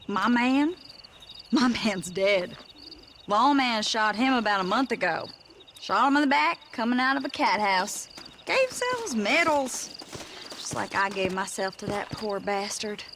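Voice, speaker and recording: A hard-bitten frontier woman in a western game. She teases and mocks in a slow drawl, fairly high and very animated. Outdoor ambient noise is clear behind her. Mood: bitter. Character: brassy, mocking, hard-bitten Old West frontier woman. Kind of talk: video game voice acting.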